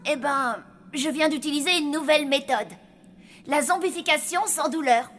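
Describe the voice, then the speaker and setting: An actress voicing a confident, cheeky zombie child in an animated cartoon, casual and self-assured as the scheming ringleader. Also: female voice, high-pitched, moderate pace. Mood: offhand. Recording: loud music behind the voice.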